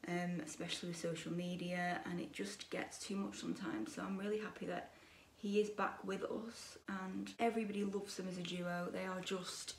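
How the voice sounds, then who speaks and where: A young woman in her twenties chatting while she does her makeup at a dressing table, gossipy and opinionated, her voice a bit croaky. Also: medium pitch, echoey room, recorded from a distance, a little hiss or hum. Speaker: beauty vlogger. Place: bedroom dressing table with lit mirror, indoors.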